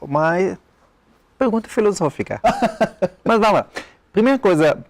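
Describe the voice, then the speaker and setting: A man's voice: sits high, lively intonation, moderate pace, dry recording. Israeli-accented man explaining confidently. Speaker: speaker and author. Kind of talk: TV interview guest. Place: TV studio.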